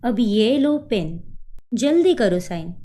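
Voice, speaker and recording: A woman's voice: mid-range voice, very animated, takes it slowly, warm tone. A woman voicing a cold, scheming young woman who mocks and bosses others around, slipping in English words. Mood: scornful. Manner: taunting, bossy, mixes in English words.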